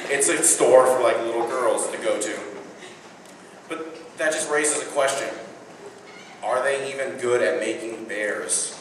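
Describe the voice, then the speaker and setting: A teenage boy from Indiana performs a self-deprecating stand-up set with punchy timing. He is picked up from far off in a big echoey hall. Steady background noise sits under his voice. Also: mid-range voice, a little slow, long pauses. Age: teens. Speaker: stand-up comedian. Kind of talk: stand-up routine. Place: large indoor venue with audience.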